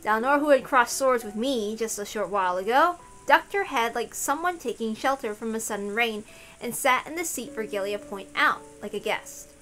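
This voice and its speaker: A woman's voice: a little high, normal speed, a bit bright. A woman reading a visual novel aloud as a narrator, in a storytelling style. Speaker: reader of a visual novel for an audience.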